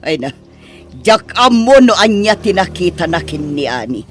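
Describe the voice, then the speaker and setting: A woman with an Ilocano accent playing a sharp-tongued, disapproving middle-aged mother-in-law in an audio drama, scolding and emphatic. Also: fairly low pitch, big pitch swings, normal speed, slight background noise.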